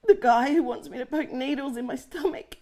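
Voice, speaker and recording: A woman's voice: high voice, a little fast, mellow, natural voice. An actress in her forties playing a profane, panicky woman who scolds herself and talks herself through her fear, short of breath. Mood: bitter. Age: 40s. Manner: swears heavily, talks to herself. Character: frazzled forty-year-old woman, profane, self-scolding. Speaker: adult content creator. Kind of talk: dramatic monologue.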